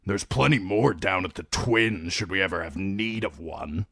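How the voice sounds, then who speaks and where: A man's voice: low-pitched, normal speed, vocal fry, fairly bright tone, no echo, studio quality, heavily acted. A man voicing a brutish young lord who growls and complains. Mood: sneering.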